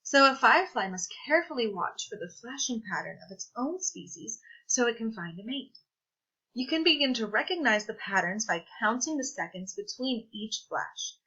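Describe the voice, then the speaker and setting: A woman reading a children's science picture book aloud, with clear, deliberate, teacherly phrasing. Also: on the high side, lively intonation, pushed and bright, lo-fi sound. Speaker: read-aloud presenter.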